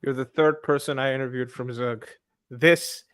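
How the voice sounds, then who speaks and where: A man's voice: medium pitch, fairly even intonation, normal speed, a bit bright, clear, resonant tone, no room sound. A man hosting an interview, asking pointed and teasing questions. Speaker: interviewer host.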